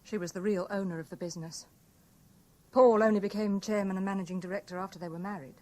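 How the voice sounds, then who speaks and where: A woman's voice: medium pitch, steady tone, fast talker, a little mellow, close to an everyday voice. An actress playing a mistress confessing her part in a murder plot, with theatrical 1970s radio drama delivery. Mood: subdued confession. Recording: noisy old radio broadcast recording.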